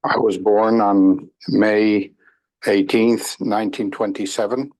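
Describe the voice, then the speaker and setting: Older man, very low voice, flat delivery, slow and measured, archival testimony clip. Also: rough recording. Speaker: Holocaust survivor giving testimony. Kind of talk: recorded oral history testimony.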